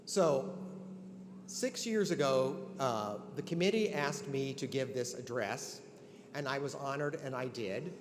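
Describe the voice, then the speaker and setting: A man's voice: medium pitch, animated, measured pace, background noise. An older man giving an earnest, conversational ceremonial address, with many restarts. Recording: long hall reverb, faint ambience.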